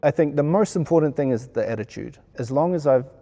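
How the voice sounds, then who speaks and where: A man with a New Zealand accent reflecting in an interview in an earnest, measured way. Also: on the high side, lively intonation, mellow, faint music behind. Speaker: sound engineer being interviewed.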